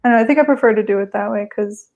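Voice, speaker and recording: A woman's voice: medium pitch, very fast, vocal fry, a little mellow, muffled recording. A young American woman on a video call, chatting casually with lots of fillers and restarts. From United States. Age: young adult. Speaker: guest, language learner. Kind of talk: casual conversation.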